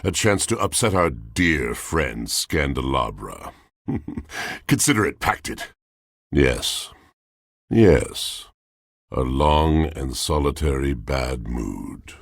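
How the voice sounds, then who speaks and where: An extremely deep-voiced, wounded romantic from a video game, speaking slowly in grandiose, florid verse. The voice is gravelly and husky, solemn and theatrical throughout. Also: male voice, animated, dry recording, studio quality, exaggerated voice. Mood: intrigued, solemn. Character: brooding, lovelorn poetic washing machine, grandiose and wounded. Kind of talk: video game character acting.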